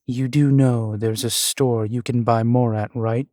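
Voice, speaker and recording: A man's voice: medium pitch, steady tone, measured pace, mellow, no room sound, studio quality, close to an everyday voice. A man playing a grumpy, jealous ghost boyfriend in an audio roleplay, with a sardonic, sullen manner. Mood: sardonic, needling.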